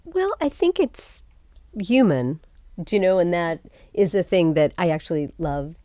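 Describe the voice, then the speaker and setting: A woman's voice: on the low side, big pitch swings, unhurried, mellow, no echo, clean studio sound. A woman guest talking casually in an interview discussion. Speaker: panel guest.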